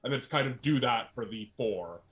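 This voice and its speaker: A man playing a tabletop game, chatting casually and explaining plans in a halting way. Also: medium pitch, animated, highs cut off, crowd noise.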